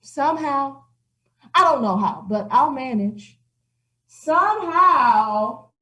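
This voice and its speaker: A woman voicing an old female alley cat who complains with whiny, drawn-out sighs. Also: mid-range voice, lively intonation, lightly acted, rough recording. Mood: self-pitying. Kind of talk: children's story acting.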